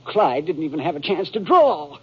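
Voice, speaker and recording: An excitable, folksy American cowhand in an old radio Western. His pitch is high and leaps about emphatically. The 1950s recording sounds dull and muffled. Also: moderate pace, slight background noise. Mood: indignant, insistent. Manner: emphatic, drawling folksy talk. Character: excitable, folksy Western posse man and eyewitness.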